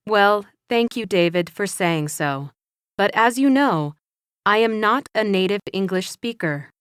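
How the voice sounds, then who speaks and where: Woman as an encouraging English teacher, smooth voice, dry sound, careful instructive delivery. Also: mid-range voice, animated, measured pace, a bit bright, dry recording. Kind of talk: scripted classroom dialogue.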